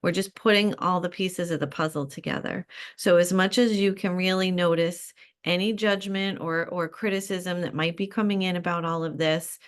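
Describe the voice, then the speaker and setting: A woman coach giving a calm, reflective solo advice talk, encouraging and often pausing with fillers. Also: on the low side.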